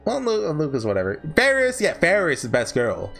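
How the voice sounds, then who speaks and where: A man's voice: a little high, wildly swooping pitch, fairly quick, creaky, fairly bright tone, soft background music. A man talking casually off the cuff as a YouTuber giving reviews, chatty, rambling and opinionated. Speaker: YouTuber host ranking visual novels.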